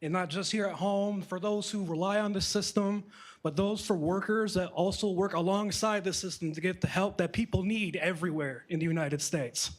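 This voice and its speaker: A local man takes the microphone in a conference hall. His voice is a little high for a man. He speaks earnestly, with a nervous edge. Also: steady tone, normal speed. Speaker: local resident speaking at a forum.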